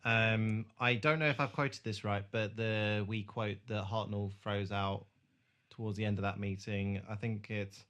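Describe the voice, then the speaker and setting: A man with a fairly deep voice recaps a film as a podcast co-host. His delivery is casual and unscripted. He often hesitates and rephrases as he works out what he means. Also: fairly even intonation, unhurried, a bit bright, dull audio.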